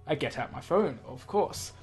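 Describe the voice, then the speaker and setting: A man's voice: a little high, animated, normal speed, darkish tone, husky. A man hosting a let's play, reading a visual novel aloud theatrically and adding casual, offhand asides. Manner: theatrical read-aloud mixed with offhand commentary. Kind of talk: game narration with casual asides.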